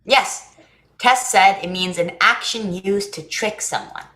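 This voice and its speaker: A woman's voice: mid-range voice, slow, bright, forceful tone, reverberant. A woman playing an earnest, eager and frank young boy in a staged play reading. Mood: eager, proud. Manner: earnest, blunt, childlike.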